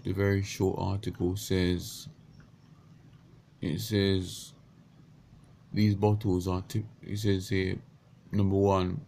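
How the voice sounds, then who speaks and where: A man in his thirties with a croaky morning voice, talking unscripted into a webcam in a small bedroom, halting with many restarts and stumbles. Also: low-pitched, mellow, a little hiss or hum. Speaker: vlogger. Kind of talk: unscripted casual monologue. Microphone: webcam at close range.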